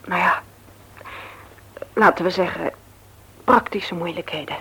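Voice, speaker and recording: Radio play role: gentle, reluctant sweetheart, very husky and breathy, careful and hesitant phrasing. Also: female voice, lowish voice, a little slow, full character voice, rough recording. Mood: careful, regretful. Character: gentle, reluctant woman turning down her suitor. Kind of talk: intimate radio drama dialogue.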